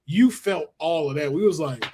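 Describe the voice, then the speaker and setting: A man's voice: on the high side, normal speed, mellow, a little hiss or hum. A man hosting a podcast, chatting in a casual, teasing way full of slang. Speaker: podcast host. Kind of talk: casual chat.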